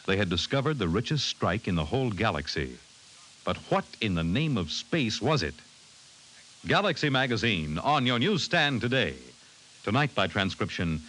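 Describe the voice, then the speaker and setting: Bright, animated American radio announcer, male. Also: medium pitch, a little hiss or hum, poor audio. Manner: polished, projected. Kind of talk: formal 1950s network radio announcing. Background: music.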